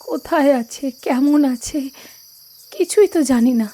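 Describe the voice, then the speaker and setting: A woman playing an anxious, fretting woman in an animated drama. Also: high-pitched, measured pace. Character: worried woman fretting over a missing girl.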